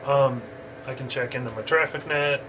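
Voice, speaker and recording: A man talks through an informal hobby tutorial with a medium-pitched voice. His delivery is unscripted and halting, wandering as he explains. A clear, steady background noise sits behind him. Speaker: amateur radio hobbyist giving an introduction.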